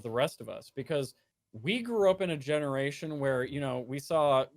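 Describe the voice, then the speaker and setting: A man's voice: medium pitch, lively intonation, normal speed, no room sound. A man in his late twenties from the United States, talking earnestly in a casual conversation as a streamer guest, with many restarts and fillers. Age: 20s.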